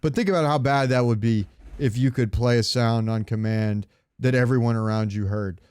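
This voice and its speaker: A man's voice: mid-range voice, fairly even intonation, normal speed, darkish tone, clean tone, no echo, studio quality. A man hosting a gaming podcast, talking in a casual, informal way. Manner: informal, occasionally swearing. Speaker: podcast host.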